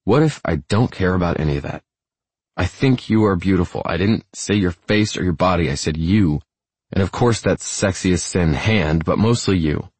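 A man voicing a smitten, protective, blunt-spoken male lover in an audiobook, low and husky. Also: normal speed, a little mellow, no echo. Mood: tender, firm. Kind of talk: audiobook character dialogue.

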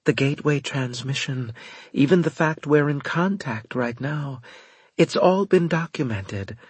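A man voicing a solemn, resigned alien woman in an audiobook, speaking with grave, gentle, measured delivery. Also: fairly high pitch, slightly rough, dry recording, pitched above the performer's own voice. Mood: grave, urgent. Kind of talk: audiobook character dialogue.

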